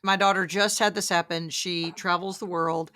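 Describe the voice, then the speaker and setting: Woman, middle-aged, podcast host, animated storyteller, affirming, dry recording. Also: lowish voice, normal speed, smooth, no echo. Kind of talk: casual interview chat.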